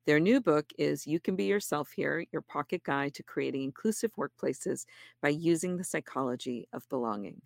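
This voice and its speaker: A woman's voice: sits low, normal speed, gravelly, no echo, clean studio sound. A woman hosting a podcast, reading an upbeat introduction and then chatting with her guest effusively. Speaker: podcast host. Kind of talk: scripted intro, then casual interview chat.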